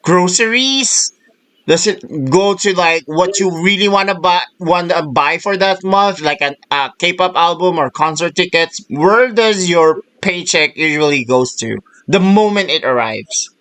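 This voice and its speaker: A man's voice: sits high, a little slow, fairly bright tone, clean tone. A man hosting a podcast in casual chat, chatty and self-deprecating, laughing often. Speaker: podcast host.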